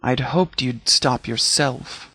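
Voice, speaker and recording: Man acting a formal, measured military commander. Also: mid-range voice, pushed and bright, slightly rough, no echo, full character voice. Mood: grave, reflective. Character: stern, caring male military commander briefing troops. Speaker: video game voice actor. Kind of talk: scripted game dialogue.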